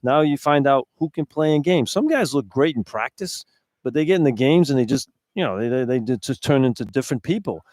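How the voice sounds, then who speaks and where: A man's voice: medium pitch, lively intonation, brisk, a little mellow, no room sound, clean studio sound. Blunt man doing radio sports analysis. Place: indoor arena broadcast position.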